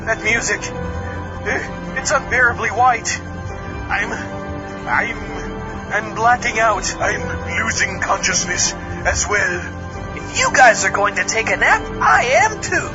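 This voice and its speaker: A man's voice: high-pitched, expressive, takes it slowly, pauses often. A man playing a frightened, silly adventurer in a comic audio skit. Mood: panicked, silly. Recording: lo-fi band-limited, loud music underneath. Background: loud music.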